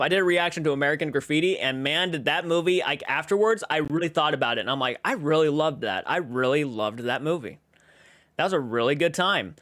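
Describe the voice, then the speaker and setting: A young man in his twenties hosts a relaxed livestream, rambling as he reads out viewer comments and answers them. His voice sits a little high for a man. The sound is close and dry, with no room echo. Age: 20s. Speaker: livestream host. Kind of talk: casual livestream chat.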